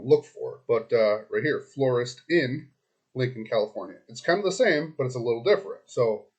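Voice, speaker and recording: Man, hoarse, mid-pitched, web consultant narrating his screen in a loose, chatty way, thinking out loud with frequent ums. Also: muffled recording. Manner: talks to the client directly, loose and chatty, thinks aloud with many ums and restarts. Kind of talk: casual screen-recorded walkthrough.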